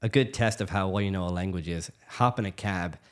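A middle-aged man from the United States, a physician guest telling stories in a casual interview, quick and full of restarts. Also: low voice. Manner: fast, animated storytelling with many restarts.

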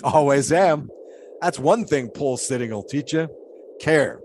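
An actor playing an eccentric, pious 1920s flagpole sitter in an audio drama, talking in a folksy, loquacious, philosophizing way with period idiom. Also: male voice, medium pitch, expressive, a little slow, darkish tone, a little hiss or hum, close to an everyday voice. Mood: earnest, instructive.

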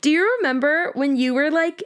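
A woman's voice: high voice, brisk, fairly bright tone. A woman of twenty co-hosting a podcast in casual chat, bubbly and giggly. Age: 20s. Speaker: podcast co-host, illustrator.

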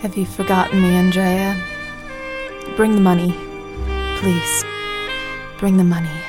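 A woman's voice: lowish voice, little pitch movement, measured pace, over music, lightly acted. An actress playing a desperate, insistent woman who pleads and demands in an audio drama.

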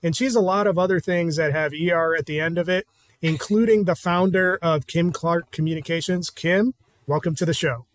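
A man's voice: a little high, normal speed. A man hosting a podcast, asking a guest questions in a friendly, easygoing, chatty way. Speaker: podcast host. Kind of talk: interviewing a guest.

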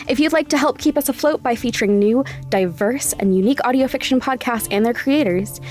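A woman's voice: on the high side, darkish tone. A woman presenting a podcast, reading scripted announcements and credits. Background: faint music.

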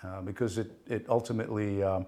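A middle-aged man who grew up speaking Italian, talking as a guest in a relaxed interview. His speech wanders, full of fillers and restarts, and he often breaks into laughter. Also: lowish voice, fast. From Italy. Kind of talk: casual interview chat.

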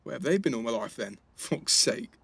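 Give the part to a cheeky teenage boy in an audio drama. His voice is mid-pitched and a bit husky, and he talks in guarded, slangy banter. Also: very animated, moderate pace, fairly bright tone, dry recording, heavily acted. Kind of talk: naturalistic audio drama dialogue.

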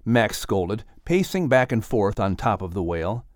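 Animated male children's story announcer. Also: on the low side, moderate pace, no echo, clean studio sound. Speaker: announcer and audiobook narrator. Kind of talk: upbeat scripted announcing and story narration for children.

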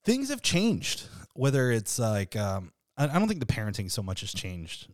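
A man in his thirties with a deep voice, hosting a casual podcast chat in a relaxed, freely swearing way. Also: normal speed, dry recording, studio quality. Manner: laid-back, swears freely, laughs often. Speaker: podcast host.

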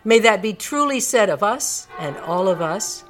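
Expressive woman narrator, dramatic storytelling. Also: medium pitch, a little slow, faint music behind. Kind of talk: dramatic storytelling, live radio reading of a classic.

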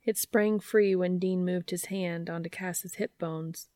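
A woman's voice: fairly low pitch, fairly even intonation, measured pace, dark tone, no echo, clean studio sound. A woman reading scripted fiction aloud as a story narrator.

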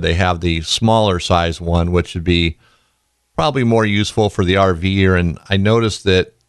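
A man hosting a podcast in a casual, rambling way, his voice raspy and tired from being sick. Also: low voice, dry recording, clean studio sound. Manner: rambling, folksy, goes off on tangents. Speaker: podcast host.

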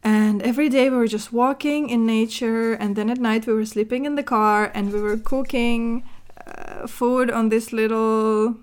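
A woman's voice: on the high side, fairly even intonation, normal speed, smooth. A young woman from northern Russia on a podcast, chatting casually from her home studio with lots of filler words and restarts. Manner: rambling, many "like" fillers and restarts, laughs often. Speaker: podcast co-host. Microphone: floor mic stand.

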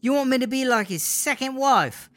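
A woman's voice: high voice, animated, moderate pace. A woman with a British accent playing a jealous, bitter, foul-mouthed girlfriend in a comedy audio drama, sarcastic and exasperated. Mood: indignant.

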